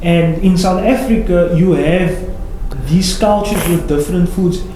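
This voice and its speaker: Man moderating, reflective with lots of uh pauses, slightly high yet mellow voice, echoey room with faint hiss. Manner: reflective, many "uh" pauses, poses questions. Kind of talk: discussion moderator.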